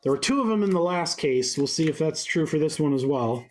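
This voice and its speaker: A man's voice: fairly high pitch, lively intonation, fairly quick, faint music behind. A congested young man with a head cold, chatting upbeat on a live stream while he rattles off cards. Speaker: card break streamer. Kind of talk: live-stream card break commentary.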